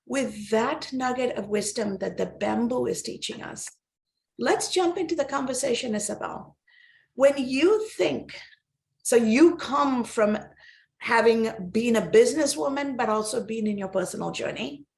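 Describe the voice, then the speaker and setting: A woman hosting a wellness podcast, her voice fairly high with a gravelly edge. She speaks slowly and with emphasis, drawing out her words to inspire her guest. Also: expressive, a little mellow. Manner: slow, emphatic, inspirational delivery. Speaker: podcast host.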